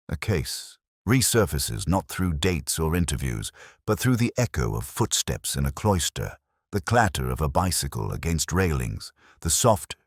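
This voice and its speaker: A man reading a scripted first-person detective story as narrator, in a measured, reflective, literary manner. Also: very deep voice, husky, no room sound, clean studio sound.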